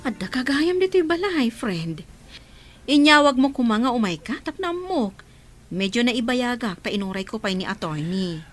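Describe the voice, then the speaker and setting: A woman's voice: on the high side, sing-song, moderate pace, soft background music. An actress playing an earnest, protective village mother in an Ilocano radio drama, with an Ilocano accent. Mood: confiding.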